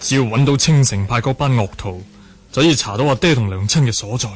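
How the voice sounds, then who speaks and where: A man's voice: medium pitch, lively intonation, quick pace, a crowd in the background, lightly acted. An actor playing a determined young man in secretive monologue in a Hong Kong Cantonese radio drama. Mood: furtive, determined. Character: furtive, determined young man seeking his parents. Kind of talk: 1980 radio drama acting.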